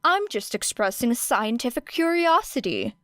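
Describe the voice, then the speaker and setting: A woman's voice: fairly high pitch, sing-song, normal speed, a bit bright, clean tone, no room sound, clean studio sound, voice pushed up. A woman voicing a guarded teenage girl who trades sarcastic jabs. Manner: sarcastic, stiff. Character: guarded snarky teenage girl hero student. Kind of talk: audiobook character reading.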